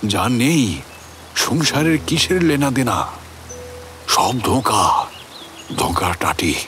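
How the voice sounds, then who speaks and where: A man's voice: medium pitch, lively intonation, slow, fairly bright tone, slight background noise. An actor playing an obsessed middle-aged family man who recounts a haunted pursuit in a theatrical, intense suspense narration. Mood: brooding. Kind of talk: dramatic first-person audio story narration.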